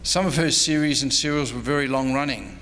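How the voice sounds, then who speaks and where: A man's voice: mid-range voice, a little fast, fairly bright tone. A man, a historian giving a prepared lecture read from notes at a convention. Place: convention presentation room. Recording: noisy, reverberant hall with hum.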